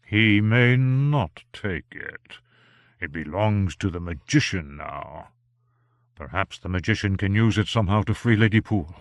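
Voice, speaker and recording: A man's voice: low-pitched, expressive, a little slow, gravelly, no echo, heavily acted. A man voicing a deferential, anxious servant in a storybook, polite, subdued and hesitant. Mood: hushed hope.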